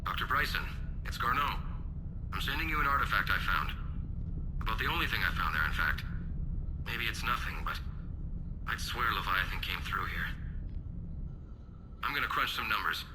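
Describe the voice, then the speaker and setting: An actor playing an easygoing field researcher in a video game, casual and wry over a radio-like audio log. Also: male voice, fairly low pitch, expressive, moderate pace, pauses often, background noise. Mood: wry, offhand.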